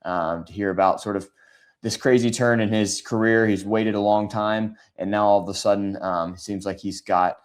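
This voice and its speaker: A man with a raspy, hoarse voice hosts a sports podcast, talking through the news in a casual, conversational way with frequent ums. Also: on the low side, fairly even intonation, normal speed. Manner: conversational, many filler ums. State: hoarse from shouting.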